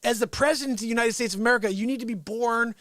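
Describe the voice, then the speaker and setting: A man's voice: extremely high pitch, very fast, fairly bright tone, no echo, studio quality. A man hosting an unscripted livestream, talking in a rambling, insistent and repetitive way. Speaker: livestream show host.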